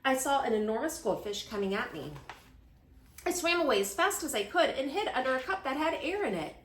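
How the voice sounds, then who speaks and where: A woman's voice: high voice, very animated, brisk, slight background noise. A woman voicing an old grandpa who tells tall adventure tales with dramatic emphasis in a children's storybook. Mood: dramatic storytelling. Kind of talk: children's picture book read-aloud. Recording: roomy, echoing sound.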